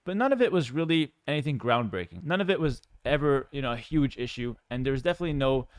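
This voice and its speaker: A man talks candidly, as a creator addressing his viewers. His delivery is very quick and his voice is clean and smooth, at a medium pitch. The sound is dry and close, and he explains himself in a reflective, conversational way. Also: lively intonation, no echo. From Canada. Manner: measured, candid, explanatory, with frequent 'you know' asides. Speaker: professional gamer and content creator. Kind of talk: personal talk to viewers, reflective monologue.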